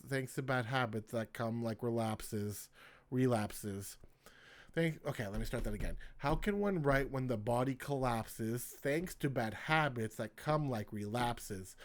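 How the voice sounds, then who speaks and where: A man hosting his own poetry channel, reading his poems aloud in a casual way and starting lines over when he stumbles. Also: mid-range voice.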